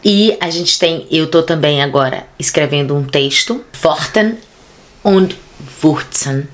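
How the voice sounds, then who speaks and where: Brazilian lady with a low voice, lively casual podcast chat, talks in quick bursts. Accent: Brazilian Portuguese. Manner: animated, fast bursts of speech. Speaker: podcast guest, theater artist. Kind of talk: casual conversation.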